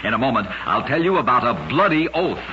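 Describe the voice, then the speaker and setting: A man narrating scripted curious true tales for radio in a measured, dramatic announcer style. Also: mid-range voice, lively intonation, bright, forceful tone. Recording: dull lo-fi radio recording, faint noise.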